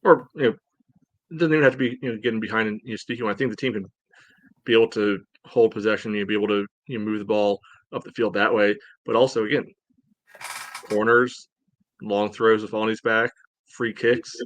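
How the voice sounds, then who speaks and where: Low-voiced man in halting sports chat. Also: fairly even intonation, dry recording. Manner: halting, peppered with "you know". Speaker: podcast co-host. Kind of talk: casual sports analysis chat.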